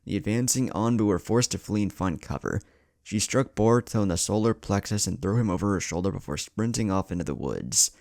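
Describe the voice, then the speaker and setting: A man's voice: on the low side, lively intonation, a little fast, darkish tone, no room sound, clean studio sound. A man reading a scripted adventure story aloud as its narrator. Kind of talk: scripted narration of fan fiction.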